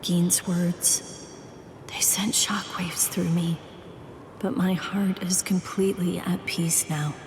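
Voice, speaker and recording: Anime game casting: doomed young female warrior, lowish voice, very unhurried, calm and resigned. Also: whispered. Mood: wistful, inward. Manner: solemn, deliberate, softly resolute. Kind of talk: scripted anime game dialogue.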